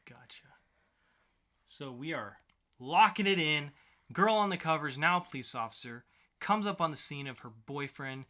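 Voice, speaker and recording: A man's voice: fairly high pitch, lively intonation, moderate pace, pushed and bright. A man co-hosting a podcast with playful banter and mock game-show announcing. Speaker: podcast co-host.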